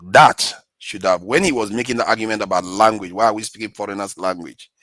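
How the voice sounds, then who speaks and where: A man giving an argumentative rebuttal in a debate, deliberate and insistent, making his case point by point. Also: on the low side, fairly bright tone, no echo.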